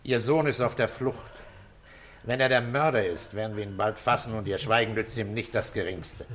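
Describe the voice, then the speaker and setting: A man's voice: fairly low pitch, normal speed, a bit bright, a little hiss or hum, lightly acted. A man acting a stern, controlled police commissioner in a radio crime drama, speaking firmly and insistently. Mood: stern, pressing. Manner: firm, authoritative, insistent. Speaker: actor.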